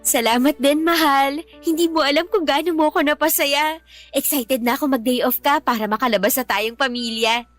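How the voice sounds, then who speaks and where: A woman playing a weary, sulky pregnant wife and mother in a radio drama, with a plaintive, expressive delivery. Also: high-pitched, moderate pace, faint music behind. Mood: hopeful, appeased. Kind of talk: radio drama acting in dialogue.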